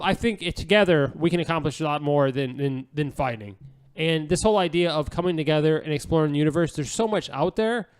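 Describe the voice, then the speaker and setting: Guy doing podcast chat, kinda high voice, super keen, rambles and repeats himself. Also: clean tone. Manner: gushing, rambling, repeats phrases. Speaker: podcast co-host. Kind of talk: casual enthusiastic podcast chat.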